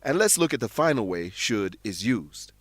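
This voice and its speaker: Animated man teaching English. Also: on the low side, normal speed, no echo, clean studio sound. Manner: didactic, clearly enunciated. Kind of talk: scripted educational narration.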